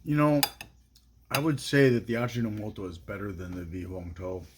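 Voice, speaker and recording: A middle-aged man reviewing food on his phone camera at home, talking in a dry, low-key musing way. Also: fairly even intonation, a little fast, a little hiss or hum. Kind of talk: casual taste-test vlog. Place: small indoor room at home. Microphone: phone camera at arm's length.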